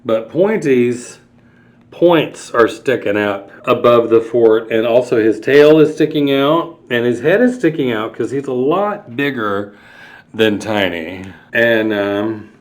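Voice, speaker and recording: A man's voice: medium pitch, slow. A man reading a picture book to young children, explaining the pictures and asking them questions as he goes. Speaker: children's storytime reader. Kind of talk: storybook read-aloud with explanatory asides to children.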